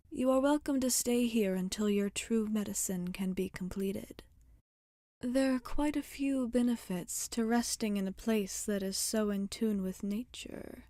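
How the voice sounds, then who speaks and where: Woman playing a slow, whispery, gentle witch healer. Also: mid-range voice, no room sound, clean studio sound. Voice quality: soft, breathy, often whispering. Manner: slow, soothing, formal and tender phrasing. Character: gentle, caring witch healer tending a customer. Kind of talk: ASMR roleplay, soft-spoken and whispered.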